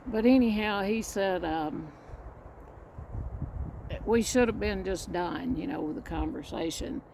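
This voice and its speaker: Middle-aged Southern woman vlogger, gravelly, rambling chatty storyteller, faint outdoor noise. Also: mid-range voice, unhurried, darkish tone, rough recording. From Mississippi and Indiana. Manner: rambling, restarts often, chatty. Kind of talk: casual storytelling to camera. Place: outdoors, storm coming.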